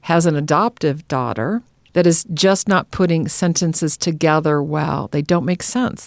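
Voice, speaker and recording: A woman's voice: low-pitched, dry recording, clean studio sound. A woman hosting a podcast in a conversational style and relaying listener questions. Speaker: podcast host.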